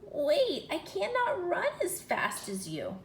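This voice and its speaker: A woman voicing an icky, warty frog from a storybook, pleading and warning in a croaking, drawn-out way. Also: very high-pitched, huge pitch swings, roomy sound, slight background noise, voice pushed up, exaggerated voice, rough recording.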